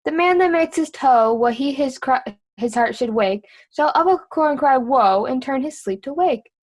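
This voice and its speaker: A woman plays a witty court fool in a Shakespeare drama. The voice is fairly high, with a wry, riddling, sing-song delivery. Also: expressive, normal speed. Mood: mocking, riddling. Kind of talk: theatrical Shakespearean reading with rhymes.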